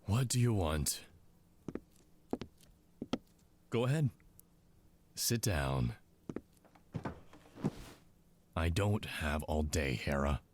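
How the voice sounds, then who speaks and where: An actor playing a smug, condescending intelligence commander in a scripted drama, talking down to people in a mocking way. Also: male voice, very deep voice, expressive, measured pace, noisy background. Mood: curt, dismissive. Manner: condescending, mocking, drawling asides.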